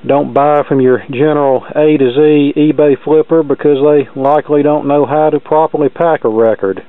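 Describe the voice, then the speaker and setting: A man's voice: a little high, fairly even intonation, normal speed, dry recording. Man in a dull, dry lo-fi recording. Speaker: video host, record collector. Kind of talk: casual unboxing commentary with practical advice.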